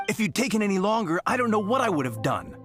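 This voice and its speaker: A woman's voice: medium pitch, natural voice. A woman voicing a weary, impatient winery worker who complains a lot.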